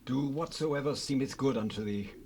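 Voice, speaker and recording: A man's voice: mid-range voice, moderate pace, a little mellow, natural voice. An actor playing a loyal Israelite spokesman in a dramatized Bible recording, firm and declamatory. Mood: deferential. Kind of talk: dramatized scripture acting. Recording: noisy cassette rip, ambient sound.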